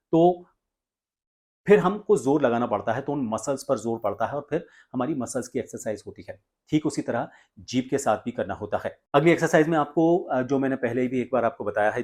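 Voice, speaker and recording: An Indian man working as a voice coach, giving instructions in a deliberate, explanatory way with frequent pauses mid-sentence. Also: mid-range voice, a little mellow. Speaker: voice coach and presenter. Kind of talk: instructional tutorial, explaining exercises step by step.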